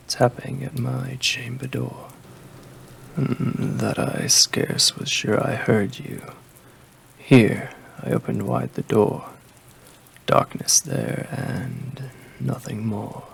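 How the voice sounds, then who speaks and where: A man with a deep voice, heavy with gravelly fry, reads a poem in a slow, hushed ASMR style. He drifts in and out of whispers and never speeds up. Soft rain ambience sits faintly behind him. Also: a little hiss or hum. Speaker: ASMR creator. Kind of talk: ASMR poetry reading.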